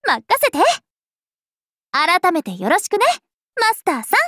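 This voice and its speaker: An actress playing a cheerful, playful young vampire princess in an anime game, speaking in a bubbly, teasing, animated way. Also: female voice, very high-pitched, a little slow, no echo, clean studio sound, heavily acted. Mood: excited, bubbly. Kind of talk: anime game character voice lines.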